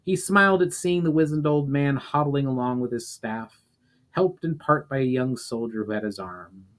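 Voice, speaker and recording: A man reading a fantasy story aloud as dramatic narration, deliberate and occasionally stumbling over words. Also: mid-range voice, a bit bright. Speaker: writer reading own novel aloud.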